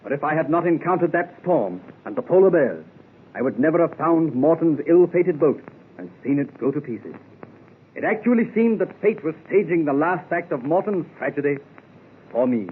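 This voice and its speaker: An actor playing a seasoned male explorer, gravely recounting his polar expedition in 1930s radio drama. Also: fairly high pitch, animated. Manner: grave, measured storytelling. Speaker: club member telling his tale. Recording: tinny 1930s radio recording.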